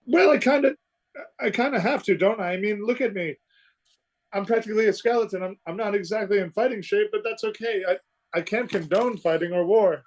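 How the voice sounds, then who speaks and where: A man puts on a frail, gentle skeleton for an amateur radio play. The voice is high, and the speech is shy and halting. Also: poor audio. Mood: sheepish, gentle. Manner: hesitant, stammering.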